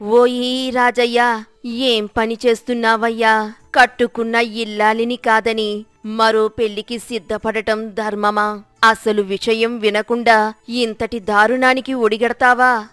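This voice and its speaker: A woman's voice: fairly high pitch, flat delivery, slow pace, a bit bright, clean tone, no room sound, soft background music. Woman voicing a fretful village gossip aunt. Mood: reproachful. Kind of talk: storybook character dialogue.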